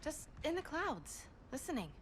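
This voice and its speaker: A woman's voice: sits high, sing-song, moderate pace, a little hiss or hum. An actress playing a restless, idealistic young superpowered alien woman in a video game, earnest and impatient. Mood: earnest, frustrated.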